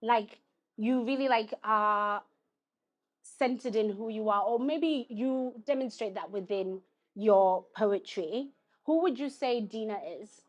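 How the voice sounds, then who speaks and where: A woman's voice: a little high, takes it slowly. A woman hosting a live poetry interview, cheering and effusive. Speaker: host.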